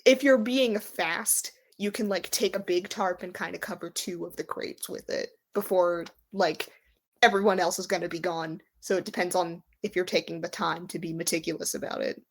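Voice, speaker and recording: A woman's voice: fairly low pitch, fairly even intonation, normal speed. A woman running a tabletop roleplaying game, narrating in a conversational, descriptive way as she improvises. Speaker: game master. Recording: music behind the voice. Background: background music.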